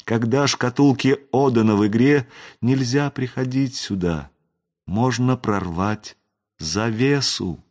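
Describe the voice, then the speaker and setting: A man voicing the gentle, regretful ghost of a wizard's parent, with solemn, tender phrasing, in a fantasy audiobook. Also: mid-range voice, slow pace, pitched above the performer's own voice.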